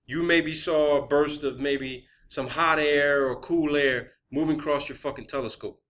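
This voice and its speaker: Forceful, sharp-voiced man arguing his theory. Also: on the high side, steady tone, moderate pace. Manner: emphatic, combative, heavy swearing. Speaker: video host presenting own theory. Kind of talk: informal opinion monologue.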